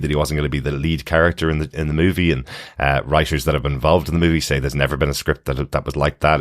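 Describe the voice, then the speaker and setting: A male podcast host with an extremely low voice, recorded close and dry. He talks casually through entertainment news, explaining things in a measured way with frequent hesitant pauses. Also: no echo.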